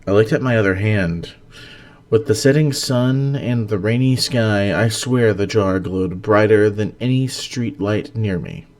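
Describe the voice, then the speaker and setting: A man's voice: fairly low pitch, a little slow, a little mellow. A man who hosts and narrates stories, reading in an earnest, dramatic way and chatting casually about them. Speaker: host and story narrator.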